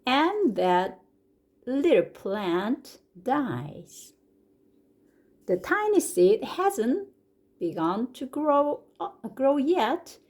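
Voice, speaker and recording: This is a woman with a Korean accent reading a children's story in English. She goes at a very unhurried pace and stretches out each word. Her slightly high voice swoops up eagerly whenever something exciting happens. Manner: slow and deliberate, stretching words, with lively rises on exclamations. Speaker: English picture-book reader and teacher. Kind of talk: slow, expressive storybook read-aloud.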